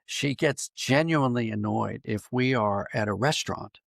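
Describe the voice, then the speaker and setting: A middle-aged or older American man explaining ideas in a conversational interview, thoughtful and halting, often restarting his phrases. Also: mid-range voice, gravelly, no room sound, studio quality. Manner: thoughtful, halting with repeated false starts. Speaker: podcast guest, writer and dramatist.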